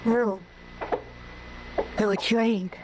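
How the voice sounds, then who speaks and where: A woman's voice: medium pitch, fairly even intonation, takes it slowly, natural voice. An actress playing a shy, wary young ranch girl in a vintage radio drama, speaking hesitantly. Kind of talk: 1950s radio drama acting. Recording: hissy old radio broadcast.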